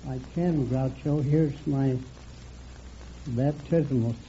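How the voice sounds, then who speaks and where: Very old American man, dark muffled voice. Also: medium pitch, slow. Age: very elderly, nineties. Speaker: quiz show contestant. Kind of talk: plain storytelling in interview. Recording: muffled vintage radio recording, faint noise.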